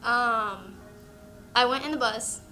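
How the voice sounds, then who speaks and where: A woman's voice: a little high, slow, pushed and bright. A young woman from Puerto Rico, a native Spanish speaker, telling personal stories casually and animatedly. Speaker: interviewee, peer mentor. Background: background music.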